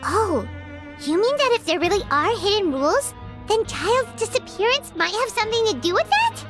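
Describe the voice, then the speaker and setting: A tiny floating game fairy with a very high, squeaky, childlike voice. The delivery swoops with excitable exclamations, and loud music plays underneath. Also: female voice, normal speed. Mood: nervous. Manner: exclamatory, refers to self in third person. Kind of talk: video game character acting. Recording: music playing behind the voice.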